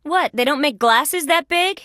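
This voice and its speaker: A woman voicing a young, wisecracking female insect police detective in a video game, with sardonic, deadpan quips. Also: high-pitched, unhurried, no room sound, studio quality, heavily acted. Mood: taunting.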